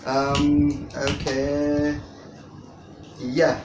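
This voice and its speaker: An adult storyteller talking casually to a child, wordy and hesitant, with frequent long pauses. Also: medium pitch, monotone. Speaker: storyteller guest reading to a child. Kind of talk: casual unscripted introduction to storytelling. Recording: echoey room, distant mic, noisy.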